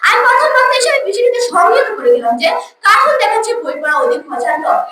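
A woman's voice: extremely high pitch, sing-song, fast talker. A young schoolgirl giving a formal, declamatory, rehearsed debate speech. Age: child or teenage school student. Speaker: school debate first speaker. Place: school debate event, room. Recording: echoey room, distant mic, noisy background.

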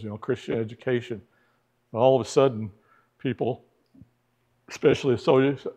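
A man's voice: mid-range voice, a little mellow. A middle-aged man teaching a church history class in a church classroom, talking conversationally, putting questions to the class and acting out the people he quotes.

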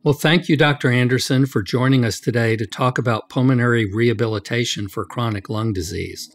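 A man's voice: medium pitch, steady tone, brisk, soft background music. A man hosting a medical podcast, putting formal questions to a guest. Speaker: podcast host, physician.

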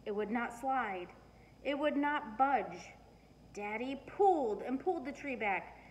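A middle-aged woman reading a children's storybook aloud with expressive, emphatic storytelling. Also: fairly high pitch, measured pace. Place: living room, indoors. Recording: noisy living room recording.

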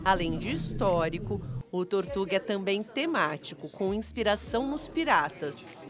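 A woman's voice: mid-range voice, animated, moderate pace. Woman reporting, light music behind. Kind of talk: scripted news narration. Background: background music.

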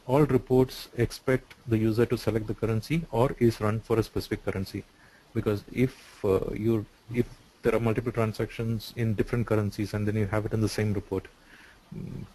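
A man on a video call who explains software features step by step, with frequent "uh" and "so". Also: fairly low pitch, fairly even intonation, a little fast, rough recording. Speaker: software developer answering questions.

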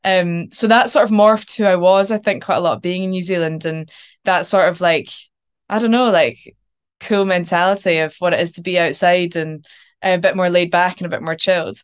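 A young Scottish woman with a Glasgow accent chats from her couch on a video call. Her voice sits low and comes across smooth and clear. She is animated, with plenty of ums and likes. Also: moderate pace. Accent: Glaswegian Scottish. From Scotland. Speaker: actor guest. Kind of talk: casual interview chat. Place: home living room, sitting on a couch.

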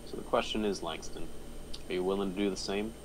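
A man's voice: low-pitched, fast talker, noisy background, natural voice. A man playing a blunt, profane, domineering boss in a screenplay table read. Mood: pressing. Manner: forceful, blunt. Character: profane, domineering big-shot male boss.

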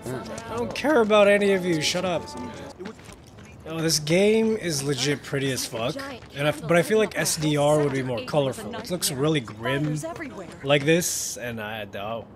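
A man's voice: a little high, moderate pace. A young man giving casual gameplay commentary, tired and sarcastic, swearing a lot. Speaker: game streamer. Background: game music.

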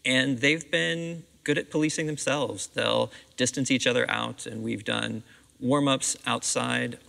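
Middle-aged American man, interviewed director, very creaky voice, recorded in a reverberant auditorium. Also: medium pitch, steady tone, unhurried, bright, forceful tone. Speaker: school theatre director being interviewed. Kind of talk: conversational interview answers. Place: seated on the stage of a theatre auditorium. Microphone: clip-on lapel microphone.

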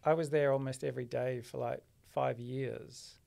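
A man, a photographer and podcast guest, talking in a relaxed, reflective way on a studio mic in a small podcast studio. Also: mid-range voice, normal speed, gravelly. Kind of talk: casual interview. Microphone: studio mic on arm, headphones.

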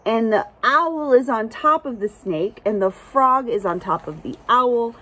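A young adult woman reading a picture book aloud to children outdoors, with expressive, chatty asides and simple questions for young listeners. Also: fairly high pitch, unhurried. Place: outdoors under a shelter among pine trees.

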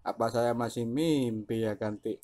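A man's voice: mid-range voice, normal speed, dark tone. A man, chatting casually as a CB radio operator. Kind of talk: casual two-way radio chat.

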